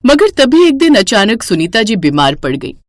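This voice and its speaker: A woman's voice: medium pitch, sing-song, moderate pace, slight background noise. A woman reading a scripted moral story as the narrator.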